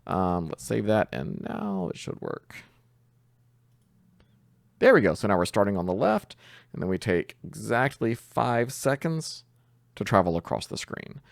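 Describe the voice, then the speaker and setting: A man with a very low voice walks through a software tutorial step by step. His delivery is informal and lively in pitch, as a host talking over his screen. The sound is dry and close to the mic. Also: measured pace, gravelly, pauses often, no echo. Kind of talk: casual screen-share tutorial narration.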